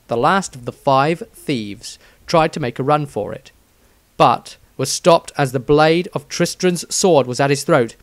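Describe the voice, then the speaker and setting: A man narrating a scripted fantasy story in a measured storytelling manner. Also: fairly high pitch, no echo. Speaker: audiobook narrator.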